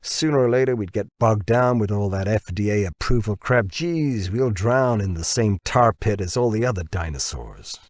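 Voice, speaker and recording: A man's voice: fairly low pitch, lively intonation, moderate pace, few pauses, no echo, clean studio sound, close to an everyday voice. A man voicing a blunt, greedy American biotech boss in an audiobook, slangy and confrontational. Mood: irritated, objecting. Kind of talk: audiobook character dialogue.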